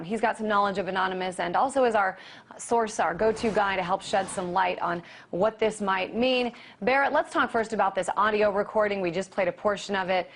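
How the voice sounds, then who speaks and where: American woman anchoring the news. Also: medium pitch. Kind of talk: broadcast news delivery and live interviewing.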